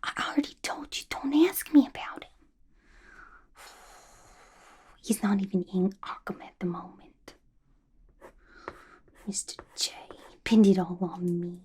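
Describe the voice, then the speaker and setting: Woman acting a female comic-book villain, playful gossip, breathy and husky, soft dark tone, confiding, casual slang, ASMR roleplay. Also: medium pitch, animated, moderate pace. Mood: defensive, gossipy. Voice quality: breathy, whispery.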